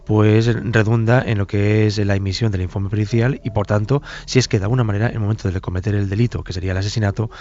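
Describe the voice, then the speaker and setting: A man speaking as a forensic expert in halting, wordy, formal clinical and legal phrasing. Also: lowish voice, steady tone, darkish tone. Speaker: forensic psychologist, expert guest. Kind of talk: technical expert commentary. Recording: dull, lo-fi remote-sounding audio.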